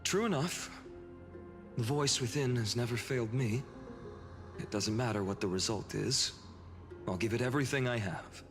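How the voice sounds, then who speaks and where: A man's voice: medium pitch, moderate pace, long pauses, natural voice. A man voicing an earnest, determined young warrior hero in a video game, with a dramatic, wry delivery. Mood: resolute. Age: young adult. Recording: long reverb with music behind.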